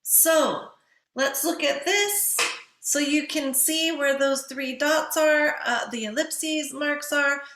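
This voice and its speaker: A woman's voice: sits high, slow, a bit bright, roomy sound. A woman giving an instructional writing lecture in a didactic way, posing questions to listeners and answering them herself.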